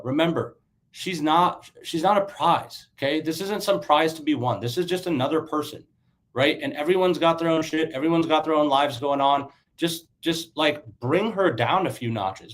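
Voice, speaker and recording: A man in his thirties, stuffy and a little raspy from a sinus infection, giving loose conversational dating advice as a coach and often checking in with "right?". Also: on the high side. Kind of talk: casual livestream coaching talk.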